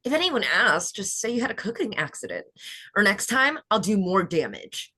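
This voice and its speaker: Casting: menacing, husky beauty queen out for revenge played by a woman, wide swinging intonation, thriller read. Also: medium pitch, sing-song, moderate pace, bright, forceful tone, heavily acted. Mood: coldly threatening. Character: vengeful, menacing beauty queen interrogating her captive. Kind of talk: screenplay table read acting.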